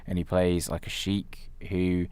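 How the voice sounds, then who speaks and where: Man, deep low-pitched voice, podcast co-host, casual chat about films. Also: level tone, measured pace, darkish tone, clean tone, no room sound. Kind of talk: casual film discussion.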